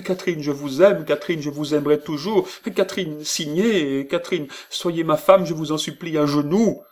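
Man voicing a frantic, high-pitched cowardly young apprentice. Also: moderate pace, lightly acted. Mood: desperate pleading. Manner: pleading, panicky exclamations. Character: cowardly young male apprentice, frightened, pleading for his life.

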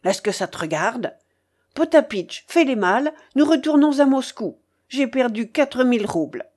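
A woman voicing an imperious, quick-tempered old grandmother in an audiobook, blunt and scolding. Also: mid-range voice, lively intonation, slightly rough. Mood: bossy, agitated. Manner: commanding, exclaiming, scolding. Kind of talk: audiobook character voice.

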